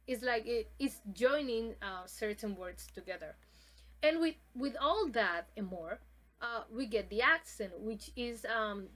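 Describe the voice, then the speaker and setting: Halting young woman presenting a lesson. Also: fairly high pitch, a bit bright. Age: young adult. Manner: halting, with many ums and uhs and restarts. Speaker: student presenter. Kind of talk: classroom presentation on pronunciation.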